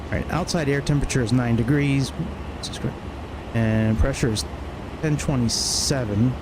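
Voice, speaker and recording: A man's voice: medium pitch, moderate pace. A man streaming a flight simulator, talking casually and half to himself, with some swearing. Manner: muttering to himself, profane. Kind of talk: casual livestream commentary while flying a simulator. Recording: clear background noise, vehicle-like hum.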